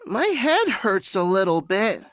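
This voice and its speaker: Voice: mid-range voice, sing-song, a little slow, a bit bright, no echo, soft background music. A performer playing a timid young boy who stammers, in a 1930s radio serial style.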